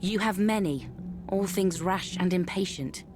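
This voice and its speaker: An actress playing a stern, solemn ancient guardian spirit in a video game, formal, stately and reproving. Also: female voice, on the low side, measured pace, background noise, lightly acted. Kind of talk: archaic, formal game drama. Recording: music playing behind the voice.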